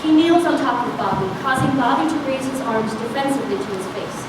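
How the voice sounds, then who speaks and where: A high-pitched woman narrates stage directions from a script. The recording is very echoey and distant, with clear noise. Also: animated, fast. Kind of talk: scripted narration at a public reading.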